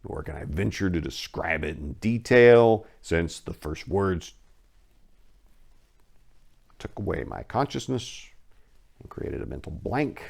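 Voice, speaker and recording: A man playing a haunted survivor recounting a horror, theatrical and halting, swelling from hushed to anguished. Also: low-pitched, creaky, heavily acted. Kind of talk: dramatic horror story reading.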